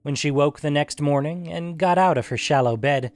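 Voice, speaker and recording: A man narrating a scripted fantasy story in a measured, deliberate, dramatic way. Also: a little high, dry recording, soft background music. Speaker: narrator and host of an audio fiction show.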